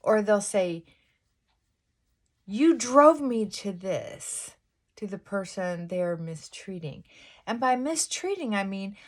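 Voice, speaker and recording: A middle-aged woman chats slowly and thoughtfully while getting ready in the morning. Her fairly high voice is held down on purpose, and she drops into soft whispered asides. She speaks in a confessional, reflective way. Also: animated. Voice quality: fairly high-pitched voice she works to pull down. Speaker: vlogger. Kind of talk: casual get-ready-with-me chat.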